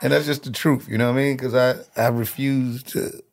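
A man's voice: medium pitch, steady tone, a little fast, creaky, faint music behind. A man hosting a podcast in a loose, slangy, casual chat, laughing often. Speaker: podcast host.

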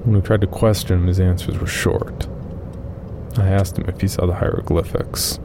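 A man with a gravelly voice reading a first-person horror story in a subdued, measured way. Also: low-pitched, fairly even intonation, noisy background.

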